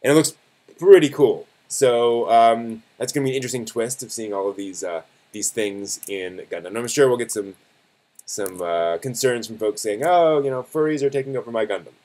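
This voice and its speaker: A man with a mid-pitched voice hosts a live stream news segment. He talks casually and conversationally, as if straight to viewers. His delivery is a little halting, and he often backs up to correct his wording. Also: darkish tone.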